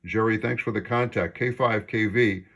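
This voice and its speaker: A man's voice: on the low side, fairly even intonation, normal speed, creaky, a bit bright. A man talking casually as an amateur radio operator during an on-air contact.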